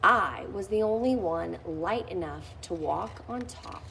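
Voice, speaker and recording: A woman's voice: on the low side, expressive, measured pace, creaky, noisy background. A woman reading a children's picture book aloud, lifting her voice expressively on key phrases. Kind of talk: read-aloud storytelling.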